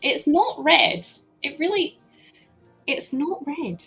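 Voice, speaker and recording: Slow, giggly Scottish woman streamer. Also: high-pitched, big pitch swings, creaky, bright, forceful tone, faint music behind. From Scotland. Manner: chatty, giggles often, reads out and answers chat. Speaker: live streamer. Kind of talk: casual live-stream chat while sorting building parts.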